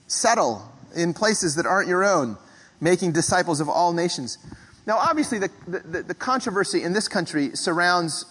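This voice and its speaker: A male pastor preaches a thoughtful sermon, his voice sitting a little high for a man. He speaks measured and reflective, pausing to clear his throat now and then. A long hall reverb trails each phrase. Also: a bit bright. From Philippines.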